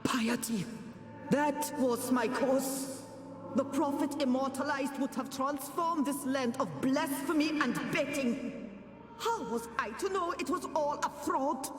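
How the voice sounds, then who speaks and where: An actress playing the pious ghost of a nagging noble wife, speaking in a sanctimonious, reproachful way. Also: female voice, high-pitched, unhurried. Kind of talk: video game voice acting. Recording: game audio with reverb and music.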